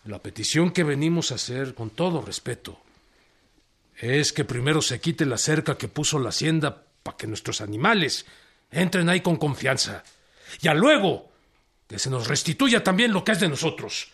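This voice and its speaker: An actor playing a young Mexican peasant leader in a radio drama, respectful but insistent, with a husky voice. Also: male voice, on the high side, big pitch swings, moderate pace, fairly bright tone, heavily acted. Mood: respectful, firm.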